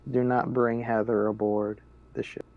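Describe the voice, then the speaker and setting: A man's voice: medium pitch, flat delivery, normal speed, narrow, tinny audio. A man talking as a player in a tabletop sci-fi roleplaying game, speaking for his character. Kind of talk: casual in-game roleplay talk.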